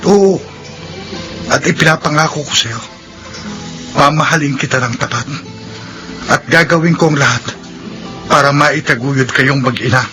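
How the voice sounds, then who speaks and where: An actor playing a devoted, humble young suitor in a Filipino radio drama, speaking earnestly. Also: male voice, a little high, moderate pace, long pauses, loud music behind the voice, lightly acted.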